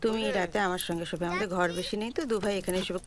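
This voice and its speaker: An actress playing a teasing, bossy sister-in-law in a naturalistic household drama, with a familiar, prodding manner. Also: female voice, lowish voice, fairly even intonation, very fast, slight background noise, close to an everyday voice. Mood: brisk, caring. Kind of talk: naturalistic film drama dialogue.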